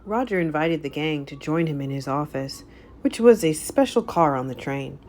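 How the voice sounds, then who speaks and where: A woman narrating a children's storybook aloud in an animated, scripted read-aloud style. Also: sits low, normal speed, slight background noise.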